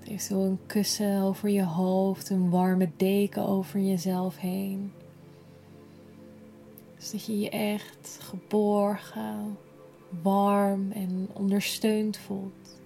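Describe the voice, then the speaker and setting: A woman guiding a yoga nidra relaxation in a gentle, soothing, unhurried manner, breathy at times. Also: medium pitch, flat delivery, soft background music. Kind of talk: slow guided relaxation meditation.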